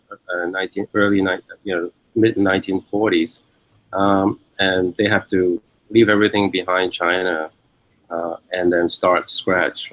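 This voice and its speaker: A man's voice: fairly low pitch, no echo, low-quality recording. A man in his fifties with a Hong Kong accent, giving reflective, explanatory interview answers and thinking aloud as he goes. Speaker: academic interviewee.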